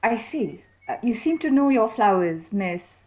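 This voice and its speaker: A woman's voice: on the high side, very animated, unhurried, a little mellow. A woman playing a wistful, reserved young Indian woman far from home in a period radio mystery, polite and guarded.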